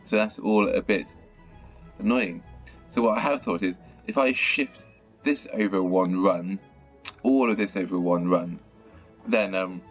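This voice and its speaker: A man with a croaky, slightly sore-throated voice, chatting casually as he plays and comments on a bus management game. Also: low voice. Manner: chatty, mildly exasperated at stuck buses. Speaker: gaming channel host. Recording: dull lo-fi audio with faint music.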